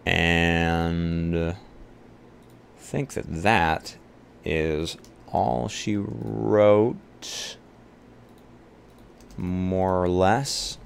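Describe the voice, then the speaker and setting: Low-voiced man chatting casually on stream. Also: steady tone, drawn-out words, creaky, pauses often, slight background noise. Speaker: live-stream roleplay player. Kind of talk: casual chat with stream viewers and co-host.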